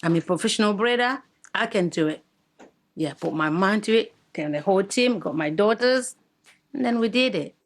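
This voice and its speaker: Lively woman from DR Congo, a braider. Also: medium pitch, moderate pace. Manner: animated, laughs often. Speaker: hair braider. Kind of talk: interview.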